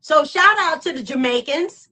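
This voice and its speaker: A woman co-hosting in casual chat, measured and searching for words at times. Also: high voice, expressive, pushed and bright.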